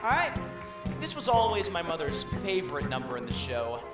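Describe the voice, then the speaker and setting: Actor as wry musing narrator. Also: male voice, fairly high pitch, huge pitch swings, brisk. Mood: wistful. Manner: wry, musing, dryly ironic. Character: wry narrator, theatre-loving man. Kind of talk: amateur stage musical. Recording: echoey stage from far off, loud music.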